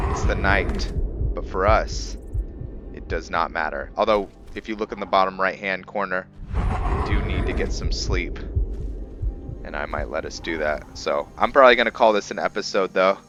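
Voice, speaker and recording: A man giving casual let's play commentary as he roleplays, rambling and halting as he thinks aloud. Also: low-pitched, over music. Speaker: gaming video host, roleplayer.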